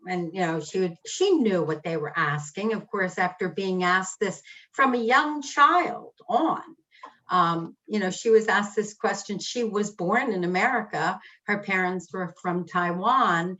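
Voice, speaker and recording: Slow, low-voiced woman moderating. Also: fairly bright tone. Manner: slow, hesitant with many fillers. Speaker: discussion moderator. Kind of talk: group discussion on a video call. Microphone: video call. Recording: dull lo-fi call audio.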